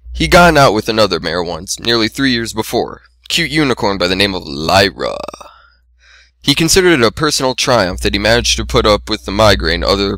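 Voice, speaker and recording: Bright, low-ish male story narrator. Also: no echo. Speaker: amateur fan fiction reader.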